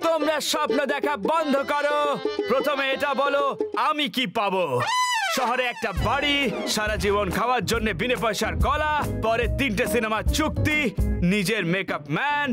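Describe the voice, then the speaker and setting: Very high-pitched vain cartoon monkey. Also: male voice, normal speed, over loud music. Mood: demanding. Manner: bossy, haughty, theatrical. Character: vain, demanding talking monkey who wants film stardom. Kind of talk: cartoon dub acting.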